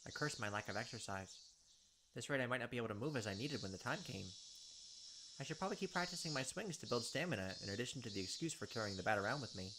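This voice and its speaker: A man's voice: medium pitch, lively intonation, fast talker, background noise. A man reading a visual novel's text aloud in a let's play, adding joking asides.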